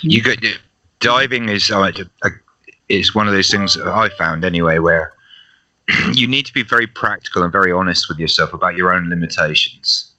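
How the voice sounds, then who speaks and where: A man talks things through on a live stream, thoughtful and a little halting. His voice sits very low but has a sharp, bright edge. The delivery is relaxed and conversational. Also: low-quality recording. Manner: reflective, explains with hesitations. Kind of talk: casual live-stream chat.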